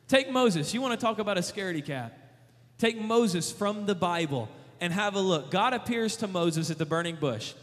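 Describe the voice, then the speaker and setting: A man preaching a sermon, emphatic, repeating rhetorical questions and paraphrasing scripture in lively retellings. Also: sits high, big-room sound. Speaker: youth preacher.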